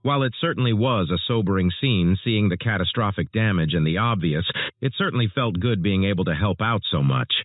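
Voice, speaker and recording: A man's voice: fairly low pitch, expressive, a little fast, dry recording. A man playing a sober, earnest storm chaser giving scripted interview answers. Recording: muffled narrowband phone-like audio.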